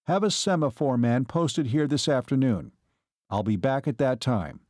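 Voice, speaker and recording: A man voicing a steady veteran army captain in a story, level and matter-of-fact. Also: mid-range voice, moderate pace, no echo, clean studio sound, close to an everyday voice. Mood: level, flat.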